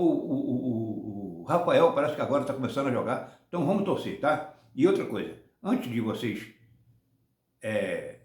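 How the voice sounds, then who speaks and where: Seventies man from Brazil, football vlogger, rambling restarts, mid pitch, reverberant room. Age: 70s. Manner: rambling, many restarts and tag "tá?". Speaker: football channel host. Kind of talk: casual rambling commentary.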